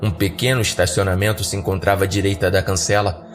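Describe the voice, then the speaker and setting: A man's voice: low voice, little pitch movement, fast talker. A man with a Brazilian accent narrating a scripted first-person horror story as a podcast narrator. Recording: background music under narration.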